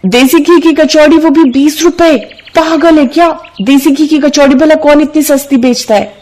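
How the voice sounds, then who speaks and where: A woman's voice: high voice, fairly even intonation, moderate pace, darkish tone, voice pushed up, natural voice. A woman voicing a cunning, bossy young city woman who pushes and persuades her friend in a cartoon moral story. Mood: scornful. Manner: pushy, scolding, persuasive.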